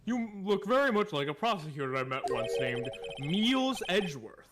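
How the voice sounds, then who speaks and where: A man's voice: fairly high pitch, big pitch swings, moderate pace, a bit bright, slight background noise. A man doing a befuddled old judge. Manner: befuddled and hesitant, stammering. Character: flustered old male judge, easily confused. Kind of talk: reading game dialogue aloud. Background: game music.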